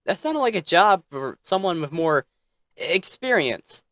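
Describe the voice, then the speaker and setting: A man's voice: high-pitched, sing-song, unhurried, fairly bright tone, no room sound. Guy reading a bedtime story, theatrical, bit snippy in asides, close dry sound. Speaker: storyteller, narrator.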